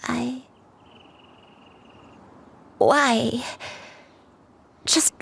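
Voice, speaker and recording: A woman playing a frail, loving grandmother in a video game, recalling the past in a halting, hushed, wistful way. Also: a little high, steady tone, full character voice. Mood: tender, sorrowful. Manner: halting, breathy, hushed reminiscing. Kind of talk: dramatic video game voice acting. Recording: faint ambient noise.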